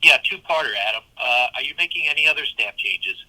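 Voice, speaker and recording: A man's voice: on the high side, animated, quick pace, pushed and bright, rough recording. A man asking questions as a sports reporter on a press conference call.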